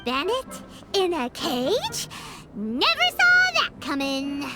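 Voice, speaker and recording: Squeaky, excitable little fairy girl. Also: slow, loud music behind the voice, heavily acted. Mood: cheeky. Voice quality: squeaky, childlike. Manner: excitable, chatty, exclamatory. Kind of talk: video game voice acting.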